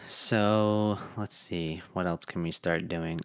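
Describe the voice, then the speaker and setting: A young man talking through a casual coding tutorial, relaxed and thinking aloud with fillers, his voice tired from long meetings. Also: low voice, slow, smooth, dry recording. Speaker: web developer.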